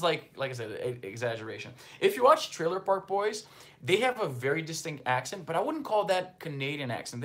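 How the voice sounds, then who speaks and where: Casual man with a slight Brazilian accent. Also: a little high. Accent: slight Brazilian Portuguese. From northeastern Brazil. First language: Portuguese. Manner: rambling, filler-heavy, loose and conversational. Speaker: livestream host. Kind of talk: casual livestream chat, reading viewer comments.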